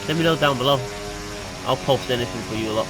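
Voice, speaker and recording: A man's voice: mid-range voice, fast talker. A young man with a nasal voice from hay fever, chatting casually while commentating his racing game. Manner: chatty, excitable. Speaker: gaming YouTuber. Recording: noisy home recording.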